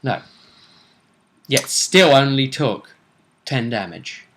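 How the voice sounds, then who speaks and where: A man's voice: mid-range voice, animated, slow, a bit bright. Man chatting through a game recap. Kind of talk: casual tabletop game recap.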